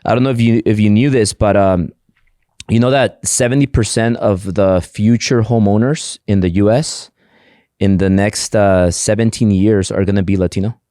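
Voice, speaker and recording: Middle-aged man, first language Spanish, as an eager interview guest: lowish voice, lots of restarts, close and dry. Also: fairly even intonation, normal speed, dark tone, dry recording. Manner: eager, many repeated words and restarts. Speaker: podcast guest, entrepreneur. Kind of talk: casual interview talk.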